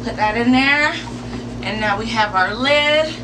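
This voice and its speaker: A woman hosting an informal step-by-step how-to demonstration in a deliberate, instructional way, picked up by a camera a few metres away. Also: on the high side. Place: enclosed porch or sunroom. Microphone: camera mic a few metres away. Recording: distant mic, clearly noisy with background hum.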